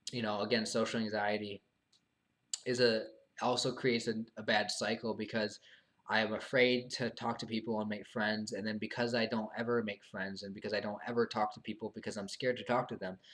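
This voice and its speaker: A young man live streaming alone, answering viewer questions in a hesitant, halting way with many fillers, his voice tiring from long talking. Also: fairly low pitch. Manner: soft, subdued, earnest. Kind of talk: casual live stream monologue.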